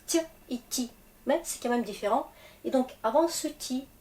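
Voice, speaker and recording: A woman with a Russian accent and a cold, teaching a language lesson, slow and patient as she spells out word endings. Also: sits high, warm tone. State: has a cold.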